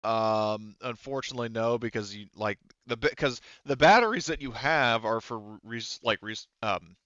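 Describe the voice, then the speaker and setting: A man's voice: mid-range voice, normal speed, fairly bright tone, dry recording, dull audio. A man casually improvising as game master of a tabletop roleplaying game.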